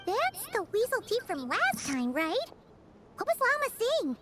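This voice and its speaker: A woman voicing a tiny, cheerful, childlike fairy companion in a video game, squeaky and excitable, speaking of herself in the third person. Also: a little slow, heavily acted. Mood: curious. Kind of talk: video game cutscene acting. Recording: loud music under the voice.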